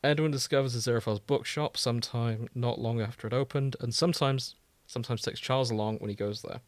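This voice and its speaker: A man's voice: medium pitch, fairly even intonation, fast, no room sound. Casual man podcaster, close dry sound. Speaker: podcast co-host. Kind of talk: casual chat, reading and riffing on fan fiction.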